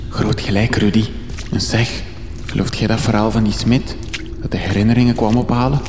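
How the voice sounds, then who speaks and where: A man's voice: on the low side, steady tone, normal speed, roomy sound, long reverb tail, noisy background, close to an everyday voice. A man with a Flemish accent playing a naive, chirpy young adventurer in a radio comedy drama, earnest and chatty. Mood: curious. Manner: earnest, chatty, quaint exclamations.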